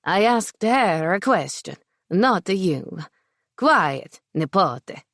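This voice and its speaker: A woman's voice: on the low side, very animated, fairly bright tone, dry recording, studio quality, exaggerated voice. A woman voicing a stern older man with an Italian accent, head of a crime family. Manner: stern, measured, commanding.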